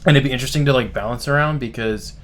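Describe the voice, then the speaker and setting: Rambling, excited man reacting live. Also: mid-range voice, rapid-fire. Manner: excited, rambling, heavy use of "like". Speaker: streamer host. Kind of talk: casual live reaction commentary.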